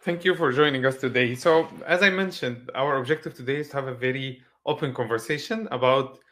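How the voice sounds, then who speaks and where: A man's voice: mid-range voice, brisk, a bit bright. A man moderating an online session, giving formal, welcoming introductions and questions to his guest. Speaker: host, moderator. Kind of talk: hosting an online panel session.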